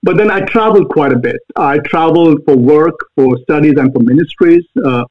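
A man with an Indian accent, a guest answering interview questions earnestly, pausing often on fillers. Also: on the high side. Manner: earnest, halting with many fillers. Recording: tinny phone-like line.

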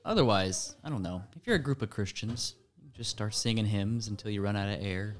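A man's voice: on the low side, fairly quick. A man chiming in casually during a conversation with offhand remarks.

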